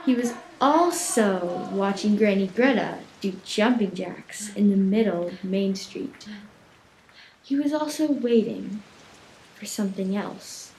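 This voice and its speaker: Slow-paced woman narrator, echoey room. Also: medium pitch, a little mellow. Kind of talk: scripted storytelling for a radio play. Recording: echoey room, faint noise.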